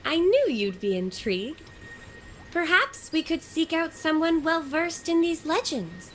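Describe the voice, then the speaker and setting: A woman playing a gentle, curious young woman in a video game, telling legends in a deliberate, formal storybook manner. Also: very high-pitched, sing-song, a little hiss or hum, full character voice. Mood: curious, intrigued.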